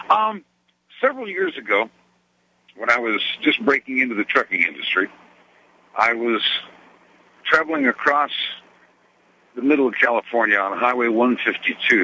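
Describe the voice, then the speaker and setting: A man, a truck driver, calling in to a radio show and telling a story in a genteel, lively way, with little laughs. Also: mid-range voice, moderate pace, pauses often, husky, highs cut off. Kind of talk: telling a story on a phone call-in.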